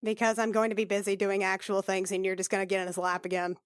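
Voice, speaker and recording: A woman's voice: mid-range voice, completely flat delivery, fast talker, clean tone, no room sound. Dry-recorded woman chatting as a player. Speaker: player in a tabletop role-playing podcast. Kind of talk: casual game chat.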